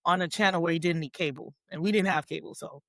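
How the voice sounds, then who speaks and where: A woman's voice: fairly low pitch, fast, dry recording. Twenty-something woman from haiti, raised in south florida, guest on a podcast, chatty, says like a lot, dry mic. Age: 20s. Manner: chatty, animated storyteller, many "like" fillers. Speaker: guest, professional wrestler. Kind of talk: casual interview chat.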